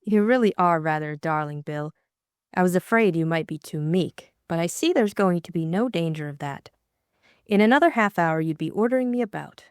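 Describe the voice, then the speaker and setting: A woman's voice: on the low side, animated, moderate pace, clear, resonant tone, no room sound, natural voice. A woman doing a dry, teasing young Englishwoman. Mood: brisk.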